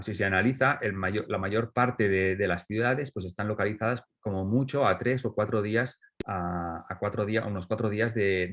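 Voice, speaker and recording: A man with a fairly low voice gives a seminar talk over a video call. He stops and restarts constantly, filling gaps with hesitations. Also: fairly bright tone. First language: Spanish. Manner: halting, many restarts and fillers. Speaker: seminar presenter. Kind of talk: academic online presentation.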